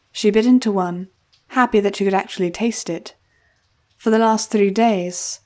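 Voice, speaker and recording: A woman's voice: mid-range voice, warm tone. A woman reading scripted storybook narration as the storyteller, with music playing behind her. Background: background music.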